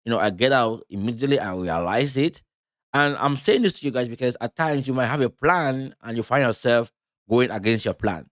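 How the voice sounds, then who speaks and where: Halting man giving live trading commentary. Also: medium pitch, no echo, clean studio sound. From Cameroon. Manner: loose, halting delivery with many fillers and restarts. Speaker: crypto trading streamer.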